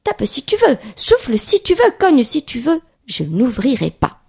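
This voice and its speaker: A plucky little pig from a storybook, voiced by a woman, stubborn and defiant. The voice sits high and bounces in a sing-song way, with some huskiness in it. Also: wildly swooping pitch, unhurried, creaky, darkish tone, husky, full character voice. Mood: taunting. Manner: sing-song, taunting refusals. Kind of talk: children's storybook reading.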